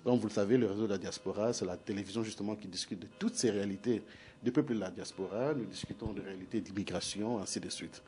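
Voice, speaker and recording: A man's voice: on the low side, fast, darkish tone. A man hosting a TV interview, speaking formally and addressing the audience directly. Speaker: TV interview host.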